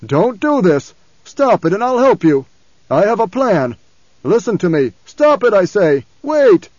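Audiobook villain: autocratic old male spirit played by a man, forceful, querulous, raised pitch, slightly dull recording. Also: lively intonation, unhurried, no room sound. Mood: raging, desperate. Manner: imperious, commanding, querulous.

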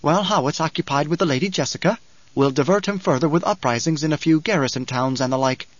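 A man voicing a coolly calculating, sly adviser in an audiobook, speaking in a precise, measured, smug manner. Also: fairly high pitch, dry recording, dull audio, natural voice. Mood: smug scheming. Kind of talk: audiobook character acting.

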